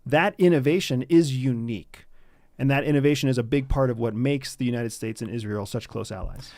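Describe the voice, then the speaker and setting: Guy hosting an interview, asks sharp probing questions, dry close sound. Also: medium pitch, brisk, dry recording. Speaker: interview host.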